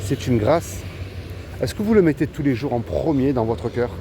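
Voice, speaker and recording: A man evangelizing in a street interview, asking passersby direct questions and slowing down to stress his points. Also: mid-range voice, expressive. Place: city street outdoors. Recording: street noise behind.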